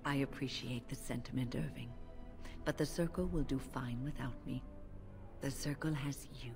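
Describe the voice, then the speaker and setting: An actress playing a composed, resolute female mage in a video game, speaking with calm, formal assurance. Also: low-pitched, natural voice. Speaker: video game character actor. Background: music.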